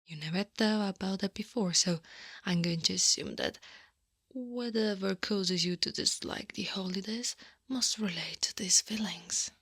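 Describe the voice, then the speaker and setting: A female holiday monster, acted by a woman, speaks slowly in a breathy near-whisper, right up close and dry on the microphone. She is knowing and firm yet tender, coaxing and soothing in an ASMR roleplay. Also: mid-range voice, dry recording. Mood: knowing, firmly coaxing. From Italy. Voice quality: soft, breathy, often whispered. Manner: slow, gentle, deliberate coaxing.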